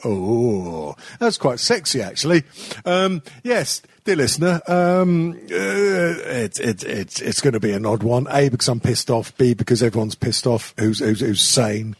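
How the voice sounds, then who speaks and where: A man's voice: mid-range voice, lively intonation, measured pace, slightly rough, dry recording, clean studio sound. A British man hosting a podcast, losing his voice, rambling and swearing with frequent laughter. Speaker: podcast host. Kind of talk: casual profane chat.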